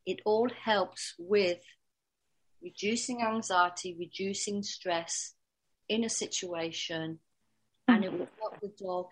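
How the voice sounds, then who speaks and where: A woman dog trainer teaching a workshop in a calm, steady voice that she pushes from the diaphragm. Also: mid-range voice, slow, breathy edge, poor audio.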